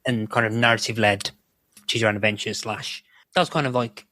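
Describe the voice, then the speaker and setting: Low-voiced man, rambling podcast chat. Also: steady tone, a little fast, sharp tone, no room sound. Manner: rambling, swears casually. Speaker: podcast guest.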